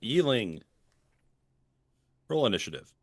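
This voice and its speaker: A man running a tabletop game as game master, narrating with relaxed chuckles. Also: mid-range voice, takes it slowly, dry recording, clean studio sound.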